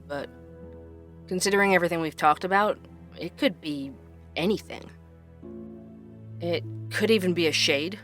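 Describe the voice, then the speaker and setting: Curious mystery podcast host, measured scripted delivery w dramatic pauses, light music bed. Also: male voice, on the high side, sharp tone. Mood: speculative. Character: inquisitive investigative podcast host, measured and intrigued. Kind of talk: scripted narration and interview.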